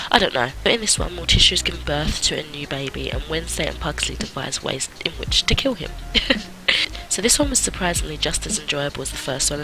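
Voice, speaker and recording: A man's voice: a little high, background noise. A man with a cold, chatting casually and laughing at himself through a monthly film wrap-up vlog. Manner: chatty and self-mocking, laughs often. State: has a cold. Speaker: film review vlogger.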